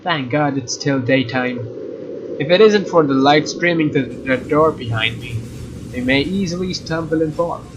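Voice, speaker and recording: A man doing a let's play, reading visual novel narration aloud in a casual, expressive way with informal asides. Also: on the high side, normal speed. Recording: dull lo-fi mic, faint noise.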